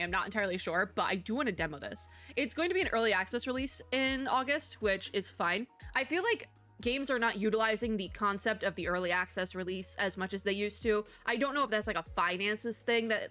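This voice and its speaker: A woman's voice: mid-range voice, a bit bright. A young woman streamer with a sore throat, chatting casually and self-deprecatingly while she reviews games, often restarting her sentences. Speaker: VTuber reviewing game showcase. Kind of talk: casual commentary.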